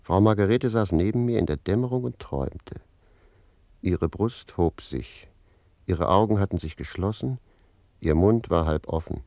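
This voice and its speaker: A man's voice: low-pitched, expressive, moderate pace, mellow, no room sound. An actor playing a roguish servant who tells of his love adventures with mock innocence and emphatic repetition, in a German radio play. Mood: narrating. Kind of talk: German radio play acting, storytelling in dialogue.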